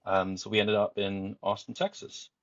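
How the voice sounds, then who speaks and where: A man in his forties from Denmark, a podcast guest telling his life story in a relaxed, meandering way. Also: fairly low pitch, moderate pace, dry recording, dull audio. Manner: frequent fillers and restarts, easygoing.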